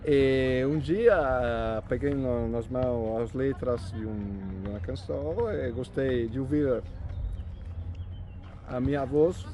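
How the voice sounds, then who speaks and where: A man's voice: mid-range voice, slow, warm tone, slight background noise. An Italian man, a native Italian speaker with an Italian accent, chatting as an interview guest and often repeating words while he searches for them. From Italy. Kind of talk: casual conversation.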